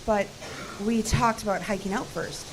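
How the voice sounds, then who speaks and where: A woman's voice: mid-range voice, fairly even intonation, normal speed, background noise, lightly acted. A woman acting an anxious, insistent hiker in an audio horror drama. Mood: uneasy.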